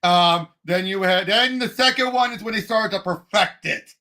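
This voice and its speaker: A man co-hosting a casual movie discussion, excitable and animated as he retells and acts out quotes. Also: high voice, normal speed. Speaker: movie podcast co-host.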